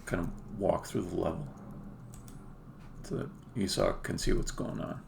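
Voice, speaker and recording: Guy w a low gravelly voice doing a casual game dev stream, kinda halting, pauses mid sentence. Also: expressive, slight background noise. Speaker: game developer, stream host. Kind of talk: casual live demo commentary.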